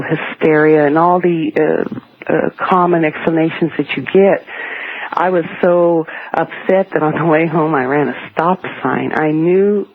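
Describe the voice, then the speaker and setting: A middle-aged woman calling a radio show, earnestly and haltingly recounting a personal ghost story. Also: deep voice, husky. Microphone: telephone. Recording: muffled narrowband telephone line on radio.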